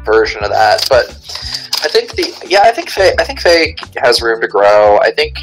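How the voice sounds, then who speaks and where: A man's voice: moderate pace, over music, low-quality recording. A man, not a native English speaker, chatting on a podcast in a hesitant way, often restarting his sentences. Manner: hesitant, restarts, pitch jumps up when animated. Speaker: podcast co-host. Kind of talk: casual podcast chat.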